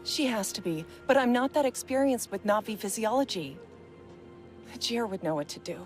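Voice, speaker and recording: Game casting: actress as a strained woman medic, higher register, anxious and clipped delivery. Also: lively intonation, normal speed, faint music behind, close to an everyday voice. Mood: worried, stretched thin. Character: strained, overwhelmed female field medic. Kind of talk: video game character acting.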